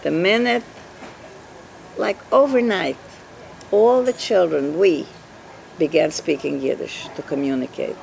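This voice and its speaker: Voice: mid-range voice, unhurried, vocal fry, pauses often, darkish tone, a little hiss or hum, rough recording. An older interviewee born in Russia with Russian as a first language, telling life stories emphatically and asking rhetorical questions. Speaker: Yiddish teacher, interviewee. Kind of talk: personal storytelling in an interview.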